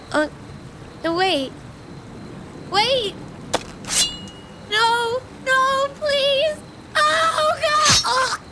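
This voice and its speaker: An actress playing a hesitant woman visitor in a radio drama, with an expressive, halting delivery. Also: extremely high pitch, full character voice, rough recording. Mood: terrified. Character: friendly, hesitant woman visitor, flirtatious then frightened. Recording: outdoor ambience noise behind voice.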